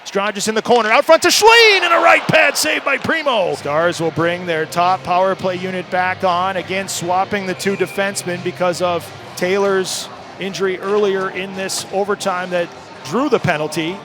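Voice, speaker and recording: A man calling live ice hockey play-by-play for radio in an excitable manner, building from steady calls to shouts. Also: high voice, moderate pace.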